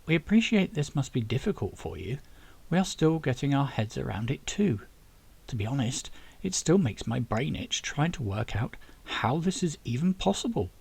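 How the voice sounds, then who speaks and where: An eccentric professor of about fifty from an audiobook, acted by a man. He is friendly and explanatory, with a husky, animated voice. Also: mid-range voice, moderate pace, heavily acted. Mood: musing.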